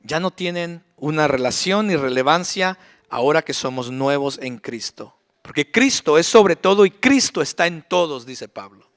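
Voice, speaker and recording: A man's voice: on the high side, lively intonation, moderate pace, a bit bright. A middle-aged male pastor preaching a sermon in a church, emphatic, with rhetorical questions. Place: church sanctuary.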